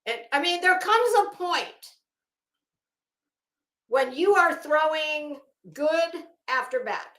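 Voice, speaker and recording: A woman giving informal, opinionated commentary in an emphatic, scornful manner, swearing freely and pausing dramatically. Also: high-pitched, expressive, fairly bright tone, roomy sound. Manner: emphatic, scornful, profane, dramatic pauses and repetition. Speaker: online commentator.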